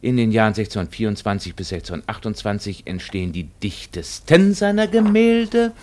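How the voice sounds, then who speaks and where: An actor playing an earnest, pedantic art scholar in a radio play, solemnly reading out a learned article with some halting. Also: male voice, lowish voice, big pitch swings, darkish tone. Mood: solemn, scholarly. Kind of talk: radio play acting, formal read-out lecture.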